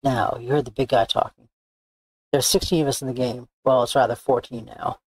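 A man's voice: mid-range voice, steady tone, fairly quick, a little mellow, husky, no echo, lightly acted, poor audio. A man voicing a cocky, bossy young woman tracker, boastful and blunt, reading visual novel game dialogue. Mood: businesslike. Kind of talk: character read-aloud of game dialogue. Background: background music.

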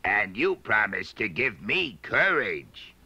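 A man voicing a big, plaintive lion in a storybook, with a rough, gravelly voice. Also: fairly low pitch, sing-song, takes it slowly, pushed and bright, no room sound, highs cut off, heavily acted. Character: big male lion, plaintive, lacking courage.

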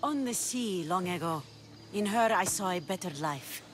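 A woman voicing a steady, low-voiced pirate captain. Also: moderate pace, slight background noise, close to an everyday voice. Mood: calm. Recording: game score playing behind.

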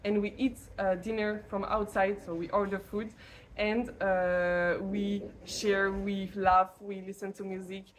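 A young French woman, a native French speaker, giving a casual testimonial to camera in English, halting and searching for words. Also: medium pitch, flat delivery, slight background noise. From France. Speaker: lay missionary teacher.

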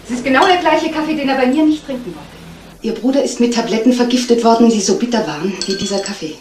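An actress playing a self-possessed, twice-divorced fiancée under suspicion in a 1970s radio crime play, speaking in a composed, matter-of-fact way. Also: female voice, on the high side, expressive, quick pace, natural voice. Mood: firm, controlled. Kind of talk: 1970s German radio crime drama acting. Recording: roomy, distant, noisy old radio recording.

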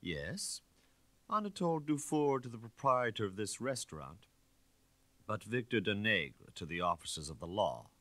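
Man acting smooth, menacing shabby ex-detective, bright-edged. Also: on the low side, very animated, moderate pace, dry recording, pitched above the performer's own voice. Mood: smooth insinuation. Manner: condescending, smoothly persuasive.

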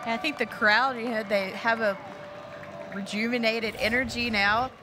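A woman giving color commentary on a televised softball game in a conversational, analytical way. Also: a little high, fairly even intonation, measured pace, a crowd in the background, noisy background. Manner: conversational analysis with casual fillers.

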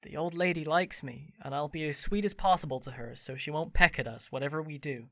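A charming, teasing young man, played by an actor in an audio drama. His tone is bright and forward, and the sound is dry and close. He coaxes gently and playfully. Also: a little high, fairly quick, vocal fry, no room sound. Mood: coaxing. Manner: coaxing, playful. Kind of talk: amateur audio drama acting.

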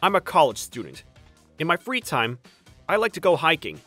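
A man's voice: a little high, huge pitch swings, normal speed, bright, forceful tone, faint music behind, exaggerated voice. A young man playing a mild, sensible but easily spooked college student in a manga dub. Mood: calm narrating. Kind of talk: manga dub narration and dialogue.

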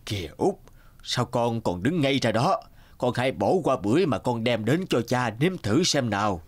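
A man voicing a wise, kindly but stern old father in a fairy-tale cartoon, slow and measured. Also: mid-range voice, very animated, dry recording. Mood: patient. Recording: music behind the voice.

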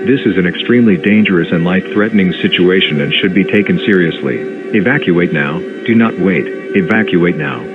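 A synthetic, robotic man's voice reads a scripted emergency alert as an announcer, in a formal, flat, mechanical manner. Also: low voice, fairly quick, few pauses, music in the background. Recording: muffled lo-fi TV alert broadcast.

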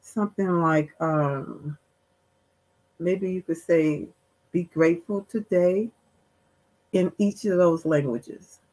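A woman's voice: lowish voice, slow, pauses often, darkish tone, rough recording. An older woman hosting an interview over a video call, asking curious, direct questions. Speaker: host. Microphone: video call webcam.

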